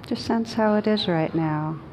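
A woman teaching meditation, guiding a group in a gentle, unhurried manner with long pauses. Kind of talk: guided meditation led for a group. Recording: clearly noisy with low rumble.

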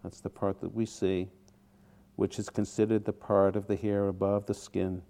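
A man teaching a guided meditation in short, deliberate phrases with pauses between them. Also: on the low side, flat delivery, creaky, warm tone.

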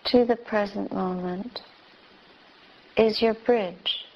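A woman teacher leading a guided meditation with gentle instructions in short, spaced phrases. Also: medium pitch, fairly even intonation, slow pace. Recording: dull, lo-fi recording.

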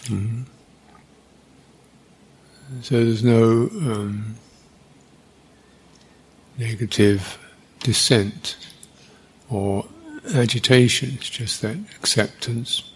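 A man guiding a meditation as a teacher, speaking in an unhurried, halting way with long pauses. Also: medium pitch, steady tone, husky. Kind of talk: guided meditation, unscripted.

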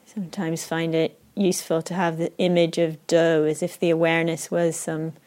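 A woman with an Israeli accent leading a guided meditation, speaking slowly and softly with long pauses. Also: low voice, flat delivery. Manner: slow, soft and unhurried, with long pauses. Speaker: meditation teacher.

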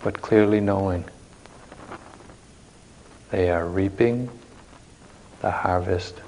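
A man leading a guided meditation as a teacher, speaking in measured short phrases with long pauses, recorded live at a retreat. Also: sits low, steady tone, gravelly, darkish tone, a little hiss or hum, poor audio.

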